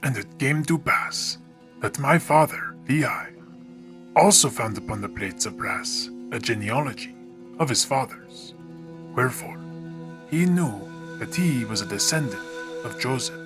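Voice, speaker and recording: A man's voice: mid-range voice, animated, a little slow. Solemn male scripture narrator over music.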